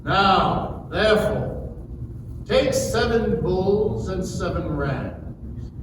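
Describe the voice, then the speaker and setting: A man's voice: high-pitched, animated, drawn-out words, full character voice. A man playing a stern, majestic God in a dramatic scripture reading, declaiming with command. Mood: wrathful, solemn. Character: stern, wrathful, majestic God speaking from the whirlwind. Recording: echoey hall, distant mic, background noise.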